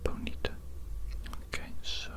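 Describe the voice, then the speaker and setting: A woman voicing a taunting, condescending evil doctor in a breathy, unvoiced whisper for an ASMR roleplay, deliberate and fond of repeating phrases. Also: natural voice. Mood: teasing, hushed. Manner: slow, deliberate, repeats phrases, mock-scornful.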